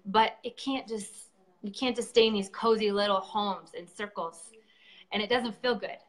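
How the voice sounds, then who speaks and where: A woman's voice: medium pitch, steady tone, normal speed, fairly bright tone, poor audio. A woman organizer speaking in a live-stream conversation, earnest and effusive, with lots of ums and restarts. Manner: earnest and effusive, with many fillers. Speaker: event organizer.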